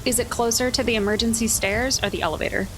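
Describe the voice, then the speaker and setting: A woman's voice: on the high side, fairly even intonation, fast talker. Woman chatting at a game table, noisy background. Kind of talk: casual game-table chat. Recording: clear ambient background noise.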